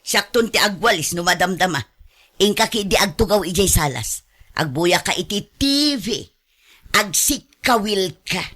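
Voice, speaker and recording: A woman's voice: mid-range voice, expressive, unhurried, fairly bright tone, breathy edge. A clingy, sarcastic elderly mother. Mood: sarcastic, scolding. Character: doting, possessive elderly mother, sarcastic toward her daughter-in-law. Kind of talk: radio drama acting in Ilocano.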